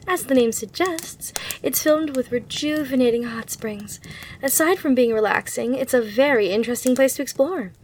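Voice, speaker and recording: A woman's voice: a little high, a little hiss or hum, close to an everyday voice. A woman playing a gracious, eager demon hotel receptionist in an ASMR roleplay, with polite, reassuring customer-service patter.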